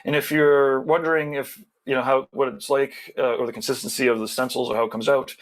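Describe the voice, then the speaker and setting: A man's voice: mid-range voice, flat delivery, fairly quick, a little hiss or hum. A man on a video call who runs the show, reading out sponsor plugs in a rambling, casual way with many restarts. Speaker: show organizer.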